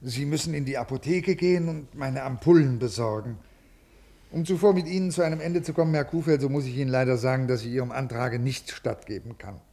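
A man's voice: mid-range voice, brisk, warm tone, natural voice. An actor playing a rigid, ailing male bureaucrat in a 1950s radio play, officious, formal and brusque. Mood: curt, impatient.

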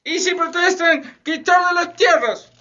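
A man playing a loud, bossy, indignant bourgeois gentleman in a theatrical radio drama style. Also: extremely high pitch, a little slow, exaggerated voice. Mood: outraged, commanding. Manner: exclamatory, theatrical. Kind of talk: Spanish-language radio theatre acting. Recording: dull lo-fi radio play, faint music.

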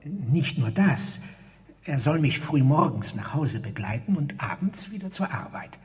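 An actor playing a fearful, self-abasing car park attendant who pleads in formal, stilted phrases, in a German radio drama. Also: male voice, a little high, animated, measured pace, darkish tone. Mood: earnest, anxious. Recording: long hall reverb.

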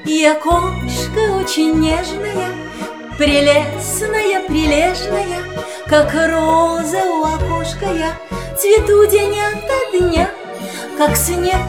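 A woman's voice: very high voice, slow, reverberant, loud music behind the voice. A woman playing a sweet, vain, coquettish fluffy cat, singing in a musical audio play for children. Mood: coy, self-satisfied. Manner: coquettish, self-admiring. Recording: studio track with musical accompaniment.

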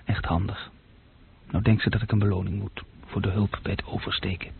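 An actor playing a young man's self-critical, brooding inner voice in a radio drama, with a gravelly tone. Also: on the low side, little pitch movement, brisk, slight background noise. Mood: self-reproachful. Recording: muffled narrow-band radio play recording.

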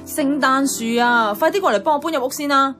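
A woman's voice: sits high, fairly quick, natural voice. Blunt young Hong Kong woman played by an actress over music. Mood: bossy, grumbling. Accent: Hong Kong Cantonese. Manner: blunt, colloquial. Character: blunt, bossy young career woman, privately lonely. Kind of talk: Cantonese radio drama dialogue. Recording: clear music playing behind the voice.

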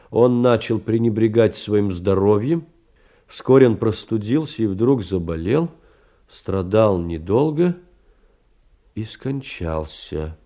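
An actor playing a narrator of a literary memoir in an audio drama, telling it in a dramatic, deliberate, theatrical way. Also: male voice, fairly low pitch, dark tone. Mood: sorrowful, nostalgic. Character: male narrator reading a young woman's sorrowful memoir.

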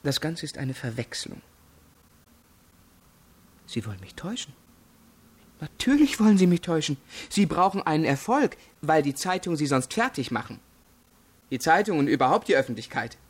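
An actor playing an evasive, defensive young student suspected of murder in a radio crime drama, with a husky voice. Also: male voice, on the high side, very animated, moderate pace, pauses often. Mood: agitated, accusing.

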